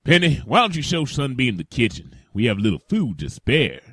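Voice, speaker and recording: A man's voice: mid-range voice, big pitch swings, brisk, fairly bright tone, slightly rough, no echo, clean studio sound, full character voice. A man voicing a protective, folksy pony father in a wasteland audio drama, with a drawling Southern US accent. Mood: warm, jovial.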